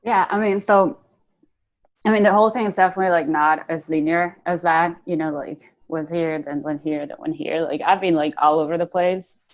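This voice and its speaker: A woman's voice: sits low, steady tone, moderate pace, poor audio. A woman from Venezuela with a Venezuelan Spanish accent, chatting casually as a guest and rambling with lots of "like". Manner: rambling, heavy on "like" fillers. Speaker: guest artist. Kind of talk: casual interview chat.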